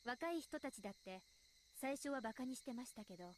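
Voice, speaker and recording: A woman voicing a poised, polite teenage village girl in a Japanese visual novel, matter-of-fact and gently teasing. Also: high-pitched, animated, very fast, slight background noise. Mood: explanatory.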